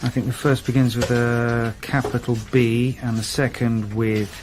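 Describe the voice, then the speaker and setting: A man's voice: mid-range voice, little pitch movement, unhurried, background noise, lightly acted. A man acting in a radio drama as a senior police detective, cautious and businesslike, asking terse questions. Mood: focused.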